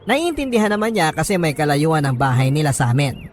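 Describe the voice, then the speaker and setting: A Filipino man reading a first-person story as a radio host, in a measured, sentimental dramatic narration with pauses. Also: on the high side, big pitch swings. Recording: music bed under the voice. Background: background music.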